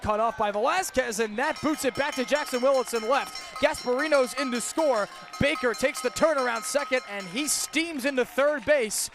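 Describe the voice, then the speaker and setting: High-pitched man calling baseball play-by-play. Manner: punchy clipped calls that build to drawn-out shouts on big plays. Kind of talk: live radio sports play-by-play commentary.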